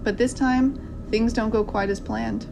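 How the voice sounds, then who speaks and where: A woman's voice: fairly high pitch, fairly even intonation, a little slow, creaky, noisy background. A woman hosting a show, giving a scripted introduction. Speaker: host.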